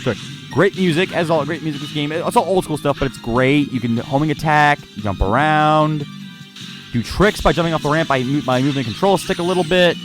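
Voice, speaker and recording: A man with a slightly high voice narrates gameplay in a casual, off-the-cuff way. He rambles, doubling back to repeat or fix his phrases, while music plays clearly behind him. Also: a little fast. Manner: rambling, repeats and corrects himself mid-sentence. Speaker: let's play narrator reviewing gameplay. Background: game music under the voice.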